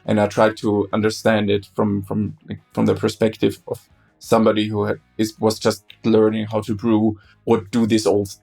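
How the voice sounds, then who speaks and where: A man from Austria, a German speaker, talking as a guest in a conversational interview, often halting and repeating words. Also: on the low side, completely flat delivery, vocal fry, darkish tone, soft background music. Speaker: guest beer historian.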